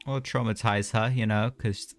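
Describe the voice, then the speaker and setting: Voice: medium pitch, steady tone, moderate pace, faint music behind. A game streamer giving casual, chatty live commentary with sympathetic reactions.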